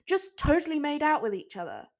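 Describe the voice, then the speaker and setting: A woman voicing a bossy, short-tempered young woman who scolds loudly and with exasperation. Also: high-pitched, big pitch swings, a little fast, voice pushed up. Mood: exasperated. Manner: loud, scolding.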